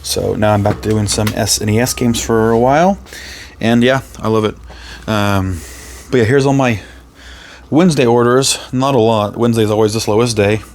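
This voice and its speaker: Man, hoarse and raspy, lowish voice, rambling reseller vlog, faint room hum behind. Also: normal speed, a little mellow. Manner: rambling, lots of ums and self-corrections. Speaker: reseller vlogger. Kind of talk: casual vlog chat. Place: his resale booth and home workspace.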